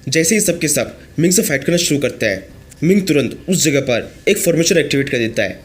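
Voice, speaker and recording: A hoarse man narrating a comic story recap as the channel's host. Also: a little high, animated, brisk, slight background noise. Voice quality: husky.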